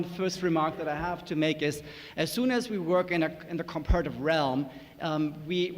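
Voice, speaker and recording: A man from Germany with a German accent, speaking as a panel lecturer with joking, self-deprecating asides and many fillers. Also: on the high side. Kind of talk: public lecture talk. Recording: reverberant hall, faint noise.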